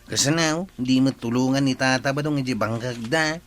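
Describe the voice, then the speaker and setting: A man's voice: mid-range voice, moderate pace, fairly bright tone, slight background noise, natural voice. A man playing an earnest, gossipy village nephew in an Ilocano comedy radio drama, with an Ilocano accent. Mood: tattling.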